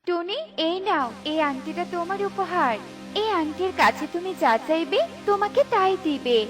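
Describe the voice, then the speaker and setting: A magical cartoon fairy, voiced by a woman, speaks in an extremely high voice. The fairy is kind yet commanding and righteous, with music clearly behind. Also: lively intonation, unhurried. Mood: generous.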